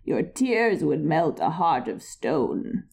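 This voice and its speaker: A man voicing a frog in a fairy tale reading, drawing out his words slowly. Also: fairly high pitch, sing-song, gravelly, a little mellow, exaggerated voice. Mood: coaxing. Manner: slow, drawn-out coaxing. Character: slow, coaxing male frog at a well. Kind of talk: storybook reading.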